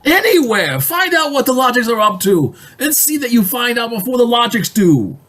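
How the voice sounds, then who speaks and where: A man playing a blustering, bossy company boss in a radio drama, excitable and sputtering. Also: very high-pitched, normal speed. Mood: barking orders. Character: blustering, excitable male company boss, in denial then panicking.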